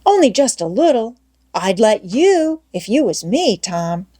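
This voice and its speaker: A woman's voice: on the high side, sing-song, measured pace, dark tone. A woman voicing an eager young boy in an audiobook, wheedling and insistent in country-boy phrasing. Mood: eager pleading. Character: eager, pleading young boy begging for a turn. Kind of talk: audiobook character voice.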